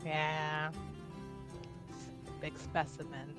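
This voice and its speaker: A woman's voice: sits low, completely flat delivery, drawn-out words, music in the background. Giggly woman playing a tabletop game. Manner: giggly, hesitant, talks through ideas. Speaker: player. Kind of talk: tabletop roleplay chat.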